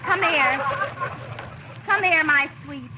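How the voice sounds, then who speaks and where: An actress playing a coy, flirtatious faithless wife in a theatrical 1930s radio comedy. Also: female voice, high-pitched, slow, a crowd in the background. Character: flirtatious, faithless wife juggling lovers in a farce. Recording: noisy old 1930s radio broadcast.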